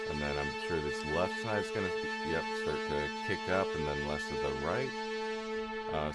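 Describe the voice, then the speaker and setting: A man with a low voice, casually walking through synth settings on a live stream, rambling with lots of fillers. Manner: relaxed, rambling explanations with many fillers. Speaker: music producer streaming a live session. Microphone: studio mic through a vocal chain. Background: synth music playing.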